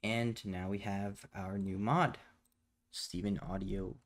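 A man hosting a step-by-step software tutorial in a casual, plainspoken way, recorded indoors at a computer. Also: low-pitched, fairly even intonation, slow. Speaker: tutorial host. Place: indoors at a computer, voice over screen capture.

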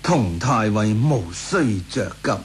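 A male actor with a Hong Kong Cantonese accent playing a sly, unhurried imperial minister in a radio drama. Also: fairly low pitch, animated, slight background noise. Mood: smug, scheming. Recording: radio drama with faint music.

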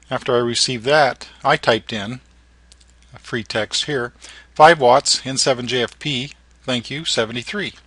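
A man with a bright, forward voice narrates an informal how-to. His phrasing is halting, broken into short pieces, and the sound is dry and close. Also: medium pitch, slightly rough, no echo. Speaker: amateur radio operator and narrator.

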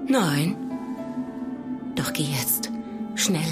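Low-voiced, sorrowful young woman. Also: expressive, very slow, exaggerated voice. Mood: fearful urgency. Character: sorrowful young village woman recounting her family's doom. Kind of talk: German radio drama narration and dialogue. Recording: music behind.

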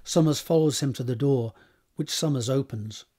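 A man's voice: mid-range voice, moderate pace, mellow. A man reading scripted stage directions as the narrator of an audio drama.

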